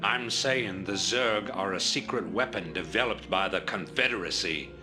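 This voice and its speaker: A calculating male revolutionary commander voiced by an actor for a video game. He speaks in a deep voice with grave, measured authority and an orator's phrasing, over prominent music. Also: lightly acted. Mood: resolute, accusing. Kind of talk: video game cutscene acting.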